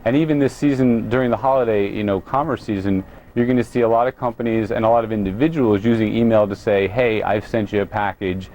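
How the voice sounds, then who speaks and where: An American man with a fairly low voice speaks as a business guest on a news interview. He explains his points haltingly, pausing and restarting as he goes. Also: fairly even intonation, a little mellow, highs cut off. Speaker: company president, interview guest.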